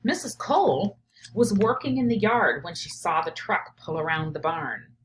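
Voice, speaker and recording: A woman teacher reading a picture book aloud and pausing to reflect on it out loud, often checking in with "right?". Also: lowish voice, a little hiss or hum, rough recording. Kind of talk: read-aloud of a picture book with think-aloud commentary.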